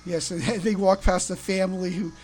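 A woman's voice: lowish voice, steady tone, normal speed, a little mellow, faint music behind. A woman co-hosting a casual fan podcast in a wry, teasing manner. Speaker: podcast co-host.

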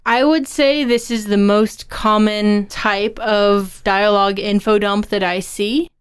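A woman's voice: medium pitch, fairly even intonation, takes it slowly, smooth. A woman co-hosting a podcast, discussing and explaining in a conversational style. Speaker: podcast co-host.

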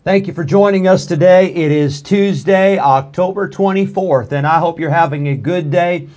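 A man's voice: high voice, normal speed, a little mellow. A man, a pastor hosting his church's radio broadcast, making friendly, rambling announcements with many fillers. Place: church studio room.

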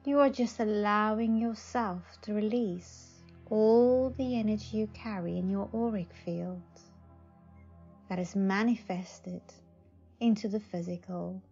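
Slow, soothing woman guiding meditation over music. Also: medium pitch, darkish tone, breathy edge. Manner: slow, soothing, with long pauses. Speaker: psychic advisor, meditation guide. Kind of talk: guided meditation. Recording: slightly dull audio. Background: faint music.

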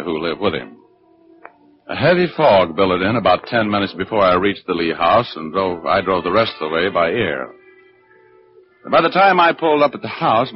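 An actor playing a brash, stubborn wisecracking private detective in a 1940s radio drama. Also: male voice, deep voice. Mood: wry narrating. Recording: muffled, noisy 1940s radio recording.

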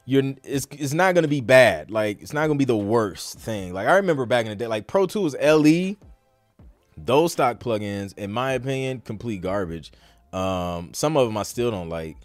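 A man's voice: medium pitch, no echo. A man hosting a podcast, talking in an informal, slangy, conversational way as in a live Q&A. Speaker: podcast host. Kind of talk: casual live Q&A chat.

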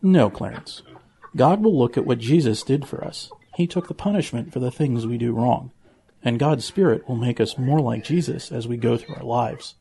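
A man's voice: mid-range voice, moderate pace, gravelly, warm tone, breathy edge. A man playing an earnest, preachy pig with an admonishing manner, in a children's audio drama. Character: earnest, preachy male pig with a fussy nervous streak.

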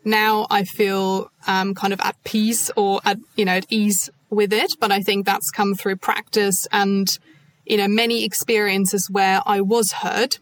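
A woman consultant speaking as a podcast guest, measured and thoughtful, with frequent soft fillers. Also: medium pitch, flat delivery. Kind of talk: podcast interview.

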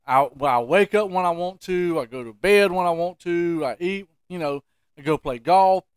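A man with a fairly high-pitched voice tells stories as a podcast co-host. He is colloquial and emphatic, repeating phrases for comic effect. The sound is dry, with very little room. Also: normal speed, clear, resonant tone, dry recording. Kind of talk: casual comic storytelling.

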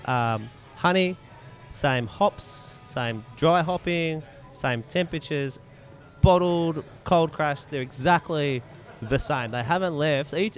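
A man chatting casually as an interview guest, explaining his craft informally and repeating phrases for emphasis. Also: on the high side. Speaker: podcast guest, mead maker.

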